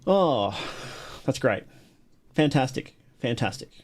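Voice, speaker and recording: A man's voice: medium pitch, sing-song, takes it slowly. Enthusiastic man reacting on a livestream. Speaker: livestream host reacting to videos. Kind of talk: casual live reaction commentary.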